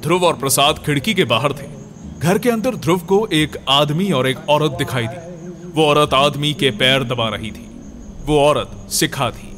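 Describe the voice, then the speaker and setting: A man's voice: a little high, measured pace, crowd noise. A man narrating a scripted horror story.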